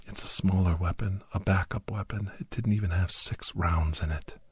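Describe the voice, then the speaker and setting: A man playing a grave, anxious doctor and old soldier telling a battle story in hushed, halting, breathy ASMR narration that often drops into whispers. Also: sits low, fairly even intonation, dry recording. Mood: tense, urgent. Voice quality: breathy, husky. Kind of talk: ASMR first-person dramatic story narration.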